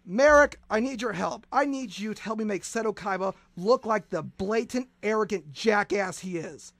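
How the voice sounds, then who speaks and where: A man hosting a comedic radio show, excitable and stammering through restarts, now and then shouting. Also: sits high, normal speed, gravelly, dry recording.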